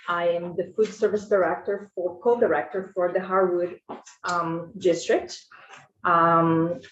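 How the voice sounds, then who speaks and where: A woman from Brazil with a Brazilian Portuguese accent, testifying informally and earnestly as a school food service director. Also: fairly low pitch. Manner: informal, self-correcting, earnest. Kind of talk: committee testimony. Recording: echoey, muffled hearing room audio.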